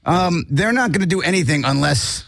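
A man's voice: fairly high pitch, lively intonation, brisk, creaky, fairly bright tone, no room sound, studio quality. A man hosting a casual comedic news chat, wry and sarcastic, laughing often. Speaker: podcast host.

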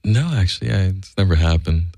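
A man co-hosting a casual radio chat show, laid-back and joking, with halting restarts. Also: low voice, expressive, gravelly, darkish tone, no room sound, studio quality.

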